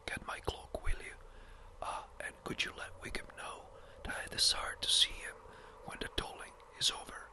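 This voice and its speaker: Brief: fussy elderly country parson, clipped and hurried, whispered by the narrator. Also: lightly acted. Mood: brisk. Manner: brisk, a little impatient. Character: fretful elderly village rector, a man.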